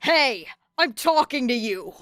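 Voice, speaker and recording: A woman's voice: high-pitched, animated, takes it slowly, fairly bright tone, no echo, studio quality, voice pushed up, exaggerated voice. A woman voicing a furious, foul-mouthed teenage boy bully who snarls threats.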